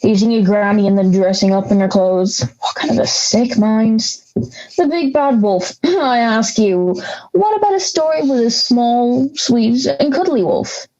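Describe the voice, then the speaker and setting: A woman's voice: a little high, measured pace, dark tone, highs cut off, close to an everyday voice. A woman playing an aggrieved, misunderstood big bad wolf in an audio drama, ranting with sarcastic indignation. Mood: indignant.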